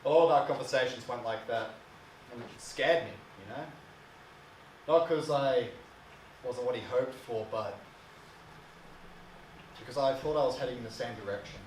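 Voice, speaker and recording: Male actor as bitter, wounded adult son: brooding dramatic monologue, halting and emotional, echoey, away from the mic. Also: mid-range voice, lightly acted. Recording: distant mic, echoey room, faint hiss.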